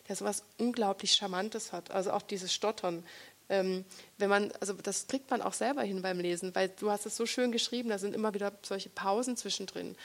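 A woman interviewing an author at a book reading, talking thoughtfully and pausing often to search for words. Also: mid-range voice. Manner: reflective, searching for words with many pauses.

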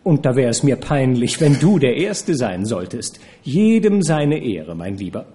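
A man voicing a coquettish young actress who teases and cajoles in a high, airy voice. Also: very animated, a little slow, mellow. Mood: teasing. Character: coquettish, teasing young woman, a theatre actress.

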